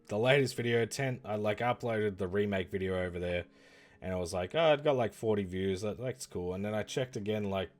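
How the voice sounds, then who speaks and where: A man streaming and chatting casually about games, rambling and correcting himself, with his voice going hoarse. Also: fairly low pitch. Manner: rambling, self-correcting, lively. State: losing his voice. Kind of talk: casual livestream chat reviewing games.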